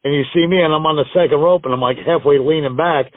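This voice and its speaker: A man's voice: fairly high pitch, fast talker, no room sound. Rambling American man on a phone line. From United States. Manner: rambling, self-deprecating, laughs often. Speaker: wrestler guest. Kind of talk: casual interview storytelling. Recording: tinny dry phone line.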